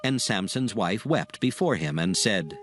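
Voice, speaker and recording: Expressive male scripture narrator over music. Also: fairly low pitch, a little slow.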